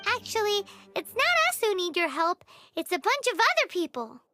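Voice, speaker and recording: A woman voicing a tiny, excitable fairy girl who talks about herself in the third person, for a game. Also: very high voice, normal speed, faint music behind, exaggerated voice. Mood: chirpy. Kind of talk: game voice acting.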